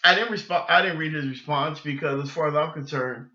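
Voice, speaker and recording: A man hosting a sports fan podcast, ranting off the cuff in a halting, repetitive, exasperated way. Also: a little high, pushed and bright. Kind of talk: unscripted sports fan rant. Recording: dull lo-fi sound, echoey room.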